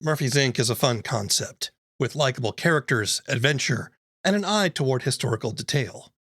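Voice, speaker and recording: A man's voice: medium pitch, expressive, normal speed, a bit bright, husky, no echo, clean studio sound. A man hosting an audio drama podcast, asking questions and then reading the scripted outro plainly. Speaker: podcast host. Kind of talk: interview and show outro.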